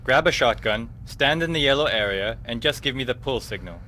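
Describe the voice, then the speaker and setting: An actor playing a dutiful but sardonic military range officer in a video game, giving clipped orders. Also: male voice, mid-range voice, animated, a little fast, background noise, close to an everyday voice. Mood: businesslike. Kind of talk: video game character dialogue.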